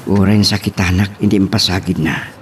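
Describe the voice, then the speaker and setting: A man acting a gentle, lovelorn, sorrowful suitor in an Ilocano romance radio drama. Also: sits low, fairly even intonation, a little fast, slight background noise, close to an everyday voice.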